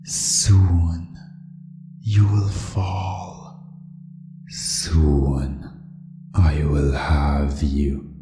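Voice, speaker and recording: A sinister game master villain, acted by a man, speaks deep and slow in a hypnosis audio drama. He is possessive, commanding and gloating, with music behind him. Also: flat delivery, reverberant, heavily acted.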